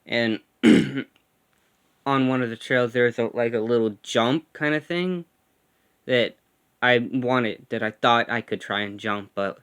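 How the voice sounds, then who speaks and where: A young man with a slight lisp and a smooth, clear tone. He chats casually in a couple's vlog, now and then stammering as he tells a story. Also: mid-range voice, a bit bright. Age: young adult. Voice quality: slight lisp from a gap in his front teeth. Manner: halting, stammers at times. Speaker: vlogger. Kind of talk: casual chat.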